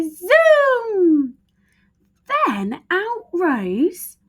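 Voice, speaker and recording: A woman narrating a children's story expressively, with measured, dramatic emphasis. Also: on the high side. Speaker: read-aloud storyteller.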